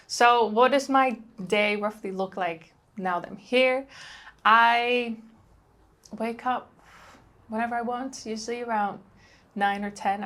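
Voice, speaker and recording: A woman's voice: medium pitch, a bit bright. A young woman from the Netherlands with a Dutch accent, chatting casually to camera as a travel vlogger, with frequent ums and likes.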